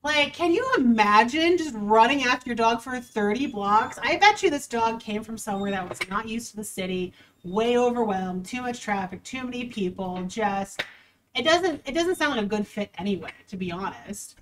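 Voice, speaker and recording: A woman's voice: on the high side, animated, moderate pace, fairly bright tone, far from the mic, slight background noise, rough recording. Chatty young woman reacting to news. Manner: chatty, wry, reads article aloud with asides. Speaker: dog trainer reacting to news. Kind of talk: casual reaction commentary.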